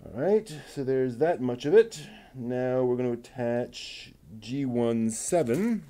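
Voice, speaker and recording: A man talking himself through assembling a model kit, muttering and pausing between steps. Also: medium pitch, lively intonation, warm tone. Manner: slow, halting, with many pauses. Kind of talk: casual build narration.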